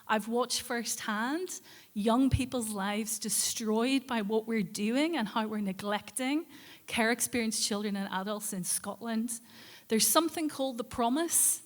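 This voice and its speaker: A woman giving an acceptance speech in a deliberate, emphatic and earnest manner. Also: a little high. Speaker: award winner giving speech.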